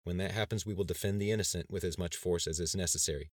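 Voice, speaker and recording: A man's voice: deep voice, fast, dry recording, clean studio sound. A man reading the part of a resolute, pious male governor in an audiobook, with firm, solemn oratory. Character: resolute, pious male state governor rallying his cabinet. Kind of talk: dramatized audiobook dialogue.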